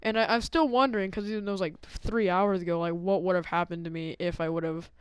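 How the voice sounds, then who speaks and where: Young male gaming host, casual slangy storyteller with a clean, smooth voice, recorded close and dry. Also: sits high, steady tone, quick pace, no room sound. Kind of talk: casual storytelling over gameplay.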